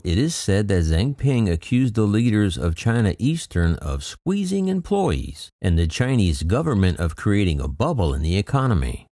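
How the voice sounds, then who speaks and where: A man's voice: fairly low pitch, mellow, dry recording, clean studio sound. A man hosting an aviation channel, reading news reports aloud in a scripted commentary and adding wry, skeptical asides. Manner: reads news quotes, then adds wry skeptical asides.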